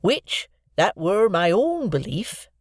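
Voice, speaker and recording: A reader voicing a simple, kindly village blacksmith who talks in a halting, rustic way, in an audiobook. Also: mid-range voice, very animated, no room sound, studio quality, pitched above the performer's own voice. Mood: careful. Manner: rustic, halting, earnest speech. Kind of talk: audiobook character voice.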